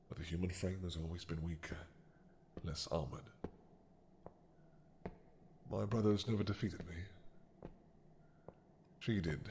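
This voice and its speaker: Guy doing an old regretful dragon king, real low voice, slow soft musing w sighs, audio roleplay. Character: ancient male dragon king, regretful, brooding.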